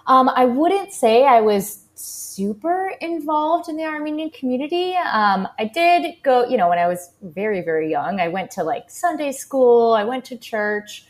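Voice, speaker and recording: A woman's voice: high voice, lively intonation, unhurried, few pauses. A woman in her thirties from the Boston area, a former gymnast, talking about her life as an interview guest in an upbeat, chatty way with many fillers. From United States, Boston area. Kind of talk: casual interview talk.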